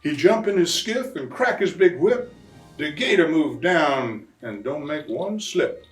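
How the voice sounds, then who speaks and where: A man voicing an excitable bayou papa who tells a rhyming Christmas storybook tale in a folksy, animated way with drawn-out emphasis. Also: a little high. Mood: amused wonder. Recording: roomy, distant mic, faint music.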